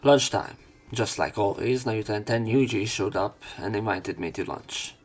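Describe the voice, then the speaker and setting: A man's voice: lowish voice, moderate pace, breathy edge. A man reading visual novel narration aloud as a let's play commentator, adding casual, wry, sweary asides.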